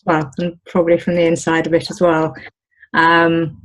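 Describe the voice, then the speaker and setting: A British woman talking casually in an interview as the owner of an olive grove, pausing often with ums and restarts. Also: lowish voice, completely flat delivery. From United Kingdom. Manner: hesitant, many ums and restarts.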